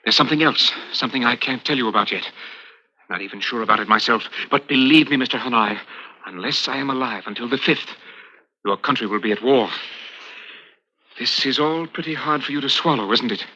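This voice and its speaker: An actor playing an urgent, hunted American spy in an intense, secretive 1950s radio suspense style. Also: male voice, medium pitch, fairly quick, sharp tone. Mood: tense, secretive. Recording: muffled 1950s radio recording, music behind.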